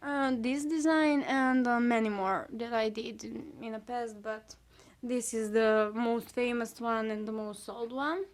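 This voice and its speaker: A young woman with a Serbian accent, Serbian her first language, casually showing her sketchbook and talking about each page with hesitant pauses and self-critical asides. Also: on the high side, fairly even intonation. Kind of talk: casual sketchbook tour chat.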